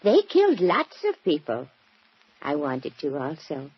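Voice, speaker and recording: Batty little old lady killer, swoopy husky voice, sweetly matter-of-fact, old muffled radio show. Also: sits low, wildly swooping pitch, unhurried, no room sound, heavily acted. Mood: wistful confession. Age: older. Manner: polite, chatty, unrepentant about violence. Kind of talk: 1940s radio drama acting. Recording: muffled vintage 1940s radio recording.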